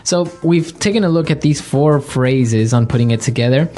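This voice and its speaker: Mellow male English-lesson podcast host. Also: mid-range voice, normal speed. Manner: explanatory, repeats phrases clearly.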